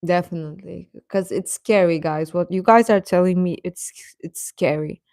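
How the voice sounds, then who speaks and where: A woman's voice: on the low side, moderate pace, darkish tone, no room sound, studio quality. A woman hosting a live stream, chatting casually with viewers in an informal, hesitant, self-deprecating way.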